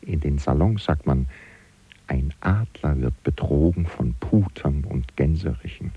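An actor playing a catty, gossipy French manservant, confiding to the listener in a gravelly, husky voice in a German radio play. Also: male voice, a bass voice, unhurried, dull audio, faint music behind, full character voice. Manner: chatty, insinuating, mock-scandalized.

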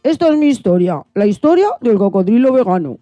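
A woman playing an unhappy, gentle male vegan crocodile in a children's radio play, confiding plaintively in the listener. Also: medium pitch, animated, a little fast, no room sound, soft background music, natural voice. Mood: glum, confiding. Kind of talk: children's radio play, first-person storytelling.

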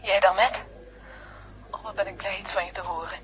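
A woman's voice: mid-range voice, steady tone, normal speed. An actress playing an affectionate woman on the telephone in a 1970 radio drama. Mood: pleased. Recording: noisy, muffled telephone line.

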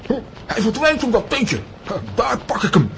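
A man's voice: very high-pitched, sing-song, moderate pace, vocal fry, a bit bright, a little hiss or hum, heavily acted. A man playing a blustering, boastful and slightly dim cartoon fellow in a children's audio drama. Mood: determined.

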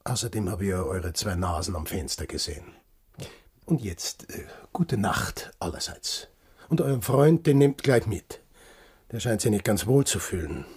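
An actor playing a brusque, mocking sailor in a radio drama. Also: male voice, lowish voice, animated, normal speed, darkish tone. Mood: sarcastic, dismissive. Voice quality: husky. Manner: gruff, sarcastic. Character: brusque, mocking sailor living alone in a hut.

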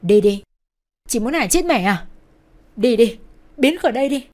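Scornful younger brother played by a woman, raised, forceful, accusing delivery for tragic audio story. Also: medium pitch, fast talker, lightly acted. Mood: furious shouting. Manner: shouting, accusing.

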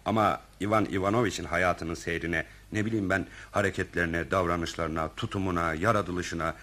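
A man's voice: deep voice, fairly even intonation, moderate pace, sharp tone. A man playing a self-assured, wordy, pompous senior official in a radio theatre comedy. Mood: smug. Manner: pompous, enumerating.

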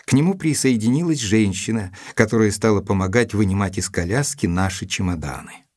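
An actor playing a gentleman narrator of a mystery tale, measured and expressive, in a literary audio drama. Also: fairly low pitch, dry recording. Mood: sombre, atmospheric. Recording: dry studio with music underneath.

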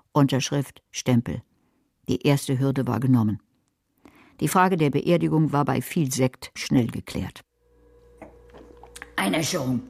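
A woman's voice: a bass voice, a little mellow, no echo, heavily acted. An actress playing a nervy, sardonic woman who schemes and narrates her own crime in a German radio drama, with dry, wry delivery. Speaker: narrator and lead character.

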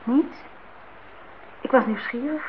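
A woman's voice: high-pitched, takes it slowly. A woman acting in a radio play as a defiant, idealistic young student, earnest and outspoken. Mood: subdued. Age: young adult. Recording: dull, noisy old radio recording.

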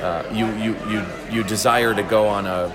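A man being interviewed as a voice actor, chatting casually and explaining his craft in a halting, thoughtful way. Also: fairly low pitch, steady tone. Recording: convention recording with loud background music.

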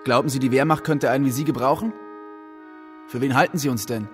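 A male actor plays a haughty recruiting official in a radio drama, scornful and dismissive. The voice sits at a medium pitch over faint music. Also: fairly even intonation, fast talker, lightly acted.

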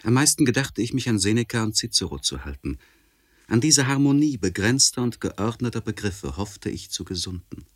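Deep-voiced, anguished learned gentleman. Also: animated, breathy edge, no echo, studio quality. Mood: melancholy, lonely. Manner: formal, deliberate, elevated diction. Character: anguished, learned gentleman writing a confessional letter, tormented and precise. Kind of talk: literary radio play monologue.

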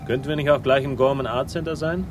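An actor playing a wry, world-weary private investigator in a radio crime drama, speaking in clipped, ironic, teasing phrases. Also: male voice, medium pitch, fairly even intonation, fast talker, background noise, lightly acted. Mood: musing. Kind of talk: 1960s German radio crime drama, dialogue and narration.

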